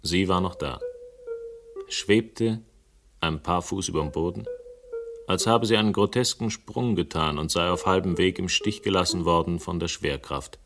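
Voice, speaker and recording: An actor playing a shrewd, dryly ironic investigator in a German radio crime drama. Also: male voice, low voice, normal speed, fairly bright tone, soft background music. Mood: somber, measured. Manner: dry, ironic, articulate. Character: shrewd, wry male investigator, dryly teasing, persistent.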